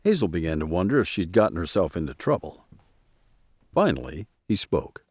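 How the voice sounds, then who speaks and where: A man's voice: sits low, big pitch swings, moderate pace, a little mellow, slightly rough, dry recording. A man narrating a scripted audio drama in storytelling style.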